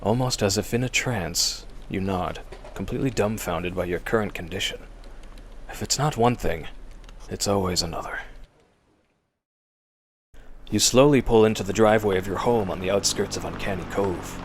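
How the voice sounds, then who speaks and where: A man's voice: fairly low pitch, fairly quick, breathy edge. A man delivering suspenseful, theatrical scripted narration as the storyteller of a horror audio drama.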